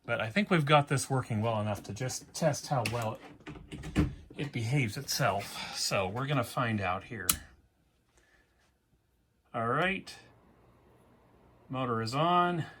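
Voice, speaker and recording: A man with a medium-pitched voice hosts a hands-on repair video. His commentary is casual and informal, as if he is thinking out loud while he works. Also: animated, slow pace, long pauses, noisy background. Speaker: repair vlogger and host. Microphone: backup microphone, not the usual audio recorder.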